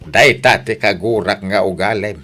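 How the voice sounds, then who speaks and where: A man's voice: fairly low pitch, moderate pace, pushed and bright. A man with an Ilocano Filipino accent playing a stern middle-aged father who lectures reproachfully in an audio drama. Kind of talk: radio drama acting.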